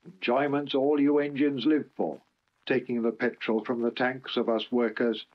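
A man's voice: medium pitch, steady tone, moderate pace, lightly acted. A man voicing a grumpy, fierce, boastful double-decker bus in a storybook, with a growling, ranting manner. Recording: dull lo-fi archive recording.

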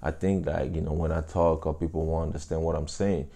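A man's voice: very deep voice, steady tone, a little fast, dark tone. A man in his thirties from Africa, whose first languages are a local African language and French, giving earnest, encouraging advice to camera in a vlog, often saying "you know", recorded indoors on a lapel mic. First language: a local African language, then French. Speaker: vlogger. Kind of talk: casual talk to camera. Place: small indoor room, seated on a couch.

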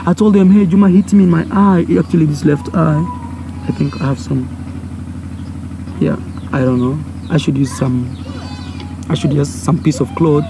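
A man's voice: fairly high pitch, normal speed, pauses often, music in the background. A young man from Kenya with a Kenyan accent, a native Swahili speaker, telling a personal story to camera outdoors and acting out the voices. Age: young adult. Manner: animated, acts out voices and gestures. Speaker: vlogger. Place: outdoors by a brick wall. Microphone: phone or camera held close. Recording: outdoor noise, village sounds.

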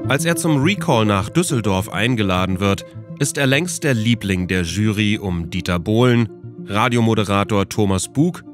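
A man's voice: fairly low pitch, expressive, normal speed, music in the background. A man hosting and narrating a documentary podcast from a script.

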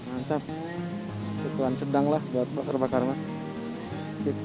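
A young man talks casually to his fishing vlog audience. His medium-pitched voice comes in short colloquial phrases, caught outdoors on an action camera under loud music. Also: flat delivery, normal speed, poor audio. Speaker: fishing vlogger. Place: open rocky seashore, outdoors.

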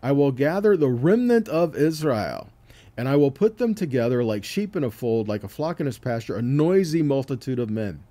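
A man with a soft-edged, mellow voice delivers a teaching sermon on scripture. His pitch moves widely as he shifts between reading verses and explaining them. He often checks in with short tag questions as he goes. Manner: explanatory, reads verses aloud and checks in with "okay" and "right". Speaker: Bible teacher.

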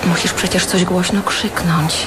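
A woman's voice: on the low side, flat delivery, unhurried, natural voice. A woman playing a practical, loving but exasperated partner in a radio drama, chiding and patient. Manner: chiding, patient, sometimes breathy half-whispers. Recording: clearly noisy background.

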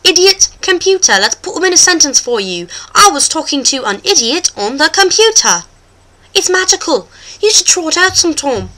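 Woman acting hammy, squeaky-high British male host. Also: a little fast, heavily acted. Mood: mock instructive. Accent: exaggerated British. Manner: hammy, excitable, rambling. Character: Christopher Waffle Banks, boastful goofy British male show host. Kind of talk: comic sketch vlog presenting to camera.

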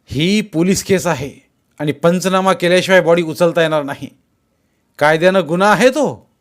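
A man voicing an angry, authoritative district official in an audiobook, shouting firmly and defiantly. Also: high-pitched, moderate pace, pitched above the performer's own voice, close to an everyday voice. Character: indignant, authoritative male district collector standing his ground.

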